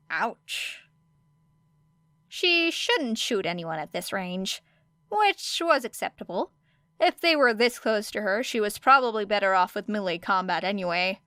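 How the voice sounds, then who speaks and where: A woman's voice: medium pitch, pushed and bright, smooth, no room sound, clean studio sound. A woman reading scripted fiction as an audiobook narrator.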